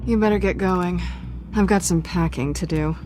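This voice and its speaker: A woman's voice: lowish voice, moderate pace, a little mellow, faint music behind, natural voice. An actress playing a wry, guarded, independent woman on the run, with a dry, understated delivery in a video game. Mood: cool, teasing. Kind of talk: dramatic video game acting.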